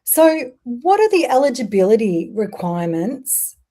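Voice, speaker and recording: A woman's voice: on the high side, big pitch swings, unhurried, mellow. A woman hosting an interview, asking loosely phrased questions with fillers. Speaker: host.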